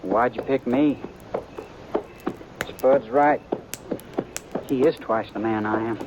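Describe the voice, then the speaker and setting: A man voices an earnest young frontier rider who speaks plainly and a little uncertainly. His voice sits at an ordinary pitch. The old broadcast carries a strong hiss. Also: slow pace, natural voice. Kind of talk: 1950s radio western acting. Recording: hissy old radio broadcast.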